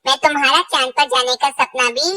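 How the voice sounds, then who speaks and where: A woman voicing an eager, flattering male bird suitor in a children's cartoon. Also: high voice, a little fast, recorded from a distance. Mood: flattering, assured. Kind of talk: Hindi children's cartoon dialogue.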